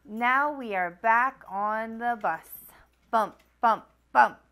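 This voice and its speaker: A woman in her thirties hosting a children's storytime, reading aloud in an expressive, sing-song storytelling manner. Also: on the high side, slow. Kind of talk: children's picture book read-aloud. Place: small furnished room at a wooden table, indoors.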